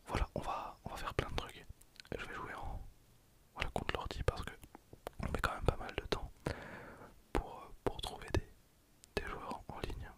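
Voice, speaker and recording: A young man gives casual, hesitant gameplay commentary in a breathy, unvoiced ASMR whisper. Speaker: ASMR gaming video host.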